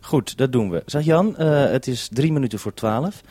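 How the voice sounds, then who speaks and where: A man speaks as a radio host on a two-way link, his voice mellow and relaxed. He gives clear, businesslike instructions in a very dry-sounding space. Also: medium pitch, moderate pace, dry recording. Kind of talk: two-way radio call before a broadcast, giving instructions.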